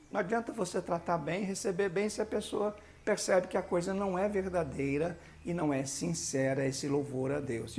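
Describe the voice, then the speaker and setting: The gravel-edged voice of a Brazilian man you'd hear leading a church training session, explaining each point emphatically to volunteer leaders. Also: a little high, darkish tone. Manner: didactic, emphatic, conversational with rhetorical questions. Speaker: pastor teaching a church leadership course. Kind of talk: instructional video teaching with sermon-like exhortation.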